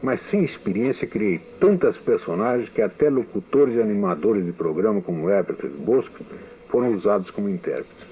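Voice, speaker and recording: An older man from Brazil with a Brazilian Portuguese accent, reading his prepared memoir as a recorded testimony, measured and deliberate. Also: on the low side, animated. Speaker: writer giving a recorded testimony. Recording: tinny phone-like archive radio recording.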